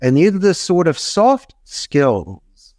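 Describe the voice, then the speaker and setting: A man's voice: fairly high pitch, very animated, takes it slowly, darkish tone, dry recording, studio quality. Emphatic, rambling middle-aged man. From Philadelphia, US. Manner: emphatic, rambling, folksy advice with repeated phrases. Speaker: podcast guest, call center entrepreneur. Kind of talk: motivational advice in an interview.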